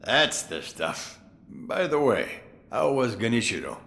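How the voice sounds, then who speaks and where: An actor playing a crafty, jovial old samurai sword master in a video game, musing theatrically with drawn-out amusement. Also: male voice, medium pitch, sing-song, creaky, fairly bright tone, full character voice. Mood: delighted. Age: older. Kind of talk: dramatic video game voice acting.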